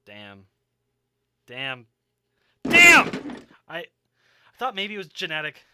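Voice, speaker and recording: A man's voice: sits high, wildly swooping pitch, slow pace, sharp tone, dry recording, clean studio sound, full character voice. A man acting in an audio drama as a wisecracking, stubborn young survivor who talks casually and sarcastically. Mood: distressed.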